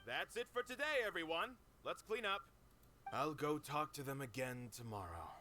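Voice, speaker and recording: A man's voice: a little high, very animated, moderate pace, pushed and bright, slight background noise. An actor playing a harried, fatherly theater manager in a video game, earnest and firm. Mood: resigned. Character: harried but fatherly male theater manager, protective, conscientious.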